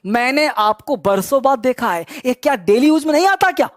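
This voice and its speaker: A man teaching an online spoken English lesson, quizzing his students in a brisk, teasing and scolding way. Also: very high voice, expressive, no echo, clean studio sound.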